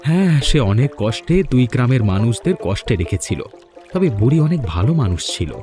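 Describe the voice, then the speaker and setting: A man's voice: medium pitch, very animated, moderate pace, mellow, soft background music, natural voice. A man playing a determined, encouraging village friend in a fairy-tale cartoon, with a resolute manner. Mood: content. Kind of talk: dramatic dubbed cartoon dialogue.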